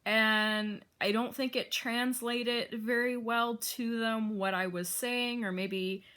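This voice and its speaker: A woman's voice: fairly high pitch, fairly even intonation, slow pace, bright, forceful tone, clean tone. A young woman from Arizona with a slight Wisconsin accent, rambling casually in a vlog, laughing often and using lots of fillers. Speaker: vlogger.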